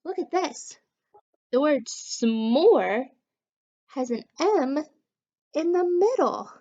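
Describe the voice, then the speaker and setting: A woman reading a story aloud to young children as a teacher, animated and encouraging, talking directly to her listeners. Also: high-pitched, extremely slow, dull audio. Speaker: children's story time reader and literacy teacher.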